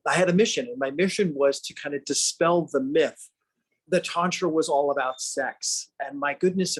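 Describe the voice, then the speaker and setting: Male podcast host, eager and chatty, slightly high voice, stammers. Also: fairly bright tone, breathy edge, rough recording. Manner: enthusiastic, stammering restarts. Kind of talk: casual interview.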